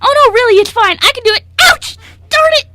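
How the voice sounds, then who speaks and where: A woman's voice: very high voice, lively intonation, fairly quick, very noisy, pitched above the performer's own voice. A woman voicing a clumsy, anxious young male dog-boy servant in an amateur radio play, apologetic, polite and easily flustered. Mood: panicked. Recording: amateur radio show recording.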